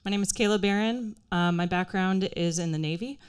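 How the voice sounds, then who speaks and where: A woman's voice: medium pitch, brisk, clear, resonant tone. A woman from Washington State giving a polite, formal self-introduction as an astronaut candidate.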